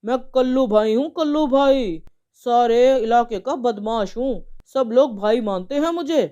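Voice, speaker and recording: A man's voice: very high-pitched, unhurried, dark tone, clear, resonant tone. Swaggering gangster bully, acted by a man, muffled recording. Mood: boastful, threatening. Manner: brash, threatening, contemptuous. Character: swaggering drunken male neighbourhood gangster, bullying and boastful. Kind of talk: acted dialogue in an animated moral story.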